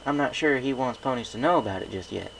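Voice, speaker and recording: A man voicing a calm, protective grown-up country mare with a folksy drawl in a read-aloud story. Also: medium pitch, fast talker, vocal fry, slight background noise, voice pushed up, rough recording.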